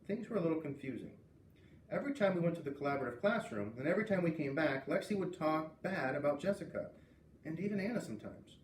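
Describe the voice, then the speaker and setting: A man reading a children's book aloud as an expressive storyteller. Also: fairly high pitch, quick pace. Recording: roomy, distant mic with faint noise.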